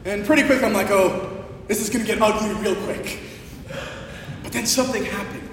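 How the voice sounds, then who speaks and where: A high-pitched male preacher speaks from some distance in a large, very reverberant hall. His voice is a little hoarse, and he tells his story conversationally, with animated emphasis and stretched-out phrases. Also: moderate pace. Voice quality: slightly hoarse. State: hoarse from shouting the day before. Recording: echoey large hall, distant, faint rumbling noise.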